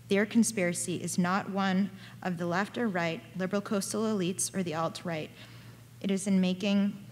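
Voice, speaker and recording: A woman with a slightly low voice reads an academic paper at a conference. Her delivery is measured and even as she works through dense written sentences. The sound carries a long reverb from the lecture hall. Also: a little hiss or hum. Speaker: conference speaker. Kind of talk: reading a prepared academic paper.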